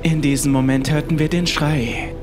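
A man's voice: a little high, fairly even intonation, moderate pace. A man reading a scripted first-person horror story as its narrator. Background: music.

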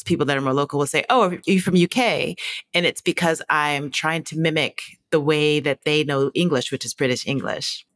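A woman's voice: low-pitched, a bit bright. Chatty woman podcast host. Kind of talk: casual interview chat.

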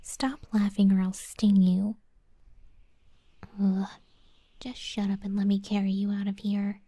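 A woman performs a proud scorpion monster girl fighter for an ASMR audio roleplay. Her voice is very soft, dark and breathy, mostly whispered at an unhurried pace. She sounds grudging and haughty, with a flustered edge, close and dry on the mic. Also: medium pitch, level tone, no echo.

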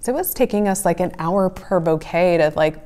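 A woman's voice: lowish voice, a little fast, warm tone. A woman in her forties giving conversational, explanatory advice to camera as a farmer and host. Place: small wood-panelled room indoors by a stove.

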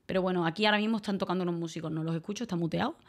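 A woman's voice: lowish voice, racing. Chatty woman streamer from Málaga. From Málaga, Spain. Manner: chatty, grateful, laughs often. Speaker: streamer and voice-over artist. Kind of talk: casual livestream chat reading donations.

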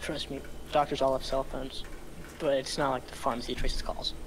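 A man's voice: mid-range voice, flat delivery, normal speed, background noise, natural voice. A man playing a cool, cocky scammer giving instructions in a table read of a film script. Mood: cool, assured. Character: cocky male scammer coolly coaching his accomplice.

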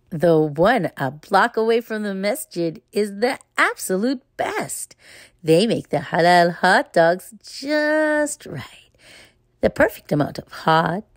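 Female storybook reader, expressive and unhurried, very varied intonation, for children's read-aloud narration. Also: medium pitch, no echo, clean studio sound. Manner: expressive, deliberate phrasing.

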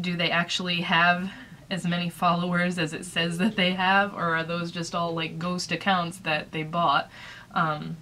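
Chatty woman with a bright tone. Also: on the low side, slight background noise, rough recording. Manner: chatty, rambling, lots of fillers. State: dry throat, recovering from illness. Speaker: small business owner vlogger. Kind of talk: casual advice vlog. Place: small room indoors.

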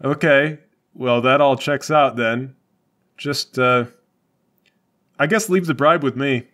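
A man's voice: medium pitch, slow, a bit bright. A man playing a tabletop game, bantering comedically in a questioning, argumentative way.

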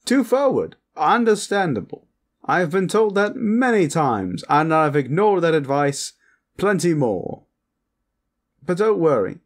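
A man's voice: on the high side, sing-song. A man voicing a lecherous, flamboyant vampire protector in a comedic audio roleplay, smug, pompous and suggestive. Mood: flirtatious, reassuring. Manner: theatrical, drawn-out, suggestive, pompous. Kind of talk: comedic character roleplay monologue, talking to the listener.

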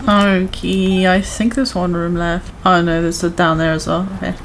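Woman, slightly low voice, a little hoarse, casual game commentary, faint background hum. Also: flat delivery, normal speed. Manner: chatty, self-reassuring, talks to viewers. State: voice going hoarse from overuse. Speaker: gaming streamer.

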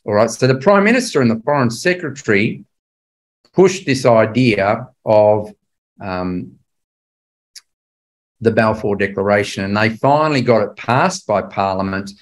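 A man's voice: fairly low pitch, a little slow. An older man giving a Bible class history lecture in a conversational, emphatic way, often putting rhetorical questions to his listeners.

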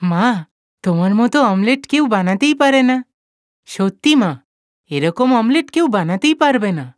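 A woman's voice: medium pitch, expressive, unhurried, no echo, clean studio sound, voice pushed down, close to an everyday voice. A woman voicing a loving, loyal village boy in a cartoon story, speaking earnestly. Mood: admiring.

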